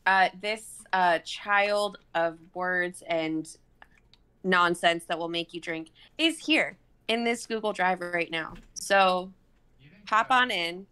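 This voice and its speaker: A woman's voice: medium pitch, sharp tone, smooth. A woman in her twenties chatting casually and playfully on a group live stream, with a mock-dramatic manner. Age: 20s. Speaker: stream regular introducing a piece. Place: indoor room, group video call.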